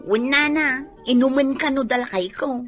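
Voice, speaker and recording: A woman's voice: high-pitched, normal speed, soft background music, close to an everyday voice. A woman with an Ilocano accent playing an exasperated, nagging village wife in a comedy radio drama, complaining as she talks. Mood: grumbling. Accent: Ilocano, northern Philippines. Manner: scolding, complaining.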